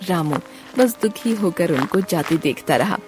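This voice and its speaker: A woman's voice: on the low side, expressive, measured pace, over music. A woman narrator reading a scripted moral story.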